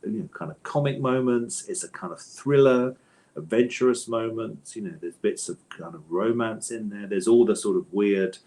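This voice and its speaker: A man's voice: on the low side, a little mellow. A man answering interview questions conversationally as a guest author, with a thoughtful, hesitant manner and bookish phrasing.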